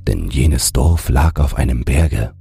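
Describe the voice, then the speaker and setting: Very deep, gravelly, slow male storyteller. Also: expressive. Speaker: audiobook narrator. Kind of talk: scripted bedtime fairy-tale narration. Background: soft music.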